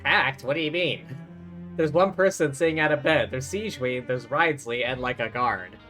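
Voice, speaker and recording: Bright-voiced man streaming a game casually. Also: mid-range voice, normal speed, faint music behind. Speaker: livestreamer. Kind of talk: casual game reaction commentary.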